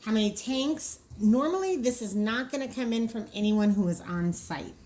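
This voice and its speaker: A woman's voice: on the high side, expressive, moderate pace, warm tone. A woman giving an informal walkthrough as a trainer, practical and explanatory, often thinking aloud. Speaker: trainer presenting draft dispatcher training cards.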